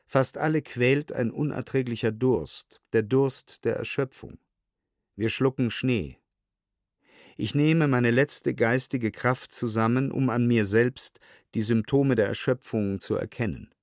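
A man's voice: medium pitch, no echo. Dry, sober male documentary narrator. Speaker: narrator reading historical documents. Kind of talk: scripted documentary audiobook narration.

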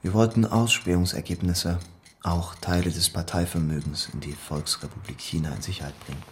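An actor playing a loyal, guarded East German communist cadre in an audio drama monologue, deliberate and confiding, often sinking toward a whisper. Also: male voice, sits low, steady tone, a little hiss or hum. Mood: sober, reporting. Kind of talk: literary monologue in an audio play.

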